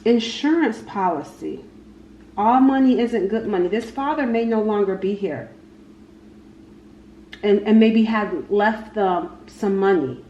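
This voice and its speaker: Woman, tarot reader, mid-pitched voice, halting and repetitive, casual delivery, echoey room, faint noise. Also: animated, dark tone, dull audio. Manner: halting, repeats phrases, talks to spirit and cards. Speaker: tarot reader, channel host. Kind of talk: casual tarot card reading, unscripted.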